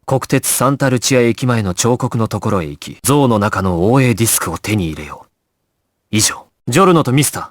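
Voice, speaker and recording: Man acting a calm, commanding young gangster leader, close dry sound. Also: medium pitch, a little fast, dry recording, lightly acted. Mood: measured, reading orders. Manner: grave, decisive, clipped orders. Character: calm, commanding male gangster squad leader in an anime game. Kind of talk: dramatic anime video game voice acting.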